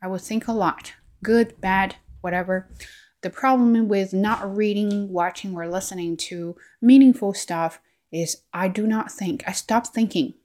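A woman's voice: medium pitch, steady tone, a little slow, a little mellow. A woman with a Chinese accent, whose first language is Chinese, talking earnestly to camera as a language learning vlogger, often saying "like" and "right?" and restarting her sentences. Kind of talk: casual reflective monologue.

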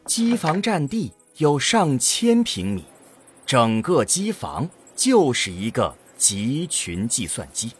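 A man's voice: mid-range voice, wildly swooping pitch, slow pace, slightly rough, faint music behind. A man narrating a scripted science fiction audio drama in a dramatic storytelling style.

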